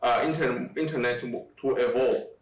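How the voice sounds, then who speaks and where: A middle-aged man from Taiwan speaks in a halting, restarting way as an interview guest. His voice sits back from the microphone in a roomy, echoing space. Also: medium pitch, steady tone. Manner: halting, many restarts and fillers. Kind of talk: conversational interview. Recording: echoey room, distant from mic.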